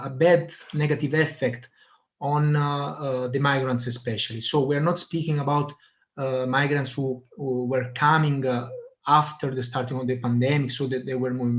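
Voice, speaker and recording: A man hosting an online meeting, hesitant, with many filler sounds. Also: mid-range voice. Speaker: meeting host. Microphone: video call. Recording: muffled lo-fi video call audio.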